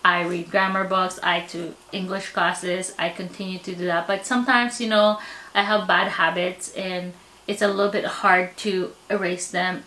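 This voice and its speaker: A woman's voice: medium pitch, sharp tone. A woman from the Philippines with a Filipino accent, talking candidly and reflectively in a personal vlog, a little self-deprecating. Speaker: vlogger. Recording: echoey room.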